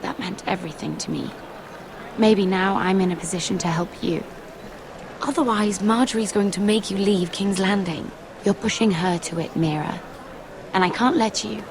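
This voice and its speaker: An actress playing an anxious, gossipy young handmaiden in a game, speaking in a plaintive, confiding way. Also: female voice, mid-range voice, moderate pace, crowd noise, lightly acted. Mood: confiding, earnest. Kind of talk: dramatic game cutscene acting.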